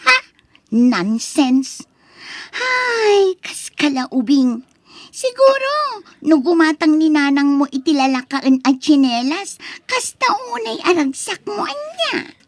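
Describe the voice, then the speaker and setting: High-pitched spoiled rich daughter. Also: female voice, very animated, slow, dry recording, exaggerated voice. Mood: scornful. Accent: Ilocano. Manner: whiny, scornful, petulant. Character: spoiled, haughty rich college-age daughter. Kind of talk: Ilocano radio drama acting.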